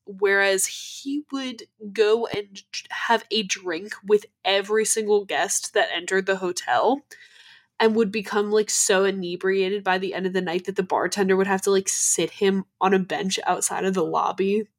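A woman's voice: medium pitch, fairly even intonation, moderate pace. Woman with a cold-stuffed nasal voice. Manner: chatty, many "like" fillers and restarts. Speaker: podcast co-host. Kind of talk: casual chat about ghost stories.

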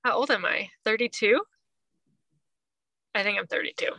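A woman in her thirties with a bright, forward voice, co-hosting a podcast. She talks informally and pokes a little fun at herself. The sound is dry and close, with very little room in it. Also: mid-range voice, normal speed, gravelly, no echo. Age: 30s. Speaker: podcast co-host. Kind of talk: casual chat.

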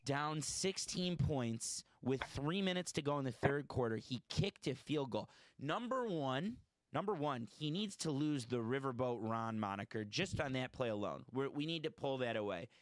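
A man's voice: mid-range voice, animated, normal speed, no room sound. A man hosting a casual sports podcast, chatty and opinionated, often correcting himself mid-sentence. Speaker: podcast host.

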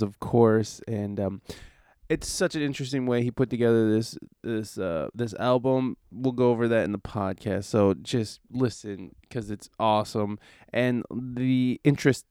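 Hoarse man hosting a podcast. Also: medium pitch, a little mellow, no room sound, studio quality. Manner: rambling, easygoing, many fillers. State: hoarse from a cold. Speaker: podcast host. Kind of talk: casual podcast chat.

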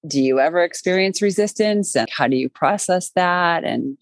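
A woman hosting a podcast, chatting in a calm, reflective way. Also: fairly low pitch, moderate pace, no echo, clean studio sound. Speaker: podcast host. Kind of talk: casual chat.

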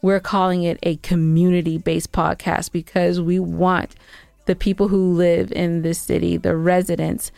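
Low-pitched woman with a creaky edge. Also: level tone, normal speed, a little mellow, no room sound. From Georgia, United States. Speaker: podcast co-host and audio drama producer. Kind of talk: casual banter and show updates.

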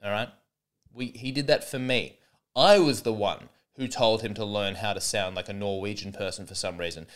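A man's voice: lowish voice, fairly even intonation, moderate pace. A young man hosting a solo comedy podcast, rambling and sarcastic with many false starts.

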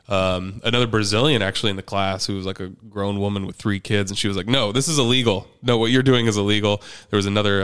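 A man's voice: fairly low pitch, a bit bright, clear, resonant tone, dry recording, studio quality. A man talking candidly and conversationally as a guest, recounting his own experiences. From United States. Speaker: podcast guest, parent activist.

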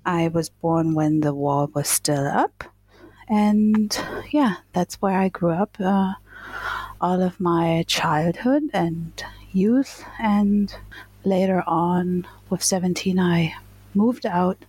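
Husky, slow-talking German woman. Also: on the low side, a little mellow, dry recording. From eastern Germany. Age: middle-aged. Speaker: interview guest, nurse. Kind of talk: casual personal storytelling.

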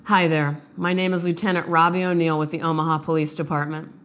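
Woman as formal lady detective, hushed and fast, voice off from illness. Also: low voice, flat delivery. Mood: gently formal. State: sick, voice off. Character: calm formal woman police lieutenant.

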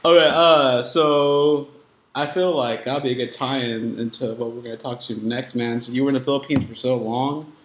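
A man's voice: medium pitch, fairly even intonation, normal speed. A man hosting a podcast in casual, slangy conversation. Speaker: podcast host. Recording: echoey room with faint background noise.

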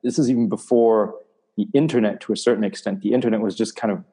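A coach from Germany talks through his past in an interview. His voice sits a little low and sounds warm and dark. He speaks in a measured way, filling pauses with "uh" and "um". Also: steady tone, slightly rough. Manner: measured, with many "uh" and "um" fillers. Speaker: athletics coach. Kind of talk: interview answers.

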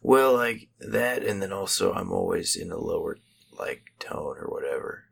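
A man in his early twenties with a raspy, low voice, talking in a subdued, hushed, tired way in a casual chat. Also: animated, normal speed. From Texas. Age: 20s. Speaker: podcast co-host.

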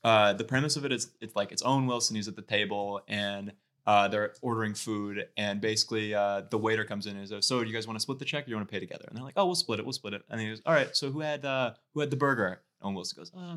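A man in casual comedy podcast chat, retelling things in a rambling, animated way. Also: lowish voice. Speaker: podcast table-read participant.

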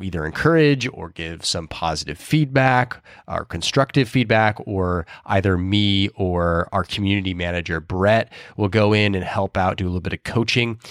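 A man's voice: on the low side, a bit bright, dry recording, studio quality. A man hosting a podcast in a casual, upbeat, chatty way, his voice a little stuffed up from illness. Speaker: podcast host.

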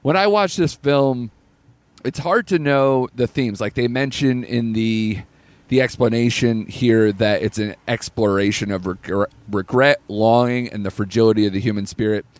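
A man's voice: medium pitch, moderate pace, no echo. A man hosting a casual podcast about a new film, laughing often as he reads out the plot. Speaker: podcast host. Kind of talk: casual film review chat.

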